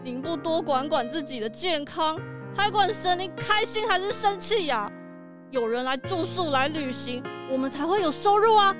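A very high-pitched little dog, played by a woman, devoted and fretful, pleading with emphasis. This cartoonish radio-play voice has clear music underneath. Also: lively intonation, normal speed. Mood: exasperated, pleading. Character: caring, fretful young dog, devoted grandchild. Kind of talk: children's audio drama. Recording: music behind the voice.

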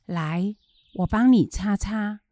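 Woman playing a slow-talking, fussing old grandmother. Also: mid-range voice, dark tone, no echo, studio quality, lightly acted. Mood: fussing, scolding. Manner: fussing, chiding. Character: elderly grandmother, caring, fussing and scolding. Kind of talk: children's educational radio drama acting.

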